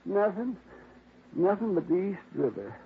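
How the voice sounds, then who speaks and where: A fussy, excitable dog owner in a 1950s radio drama. His voice sits high and leaps all over. It comes through a thin, phone-like broadcast with faint hiss. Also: moderate pace, slightly rough, soft background music, heavily acted. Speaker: dog owner reporting a theft.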